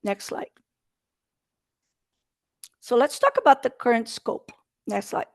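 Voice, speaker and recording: A woman's voice: fairly high pitch, wildly swooping pitch, slow, no room sound. Brazilian lady running a webinar, earnest, little slips in her english, dry close mic. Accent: Brazilian Portuguese. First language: Portuguese. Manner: earnest, talks in non-native English with slight slips. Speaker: state procurement presenter. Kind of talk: presenting a webinar.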